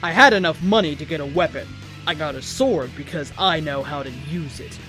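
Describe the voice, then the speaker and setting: A man's voice: a little high, a little fast, sharp tone. A man reading a fan fiction story aloud as host, in a dramatic narrating style. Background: background music.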